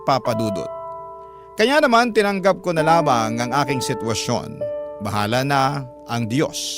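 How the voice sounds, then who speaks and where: Troubled Filipino father, higher-pitched. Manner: reflective, earnest, plaintive. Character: sorrowful, troubled Filipino father confessing his story. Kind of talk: dramatic first-person radio narration.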